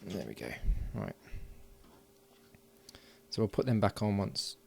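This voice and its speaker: A man's voice: fairly low pitch, very animated, takes it slowly, vocal fry, a little mellow, a little hiss or hum. A man giving casual, unscripted hands-on commentary as he builds an electronics project, murmuring along while he works. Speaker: hobbyist electronics builder and presenter.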